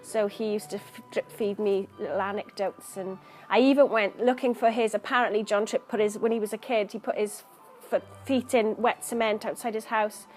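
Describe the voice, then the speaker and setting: Woman poet, mid-pitched, thoughtful interview talk, earnest and searching. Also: fairly even intonation, moderate pace, hardly any pauses, a little mellow, faint music behind. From Wales.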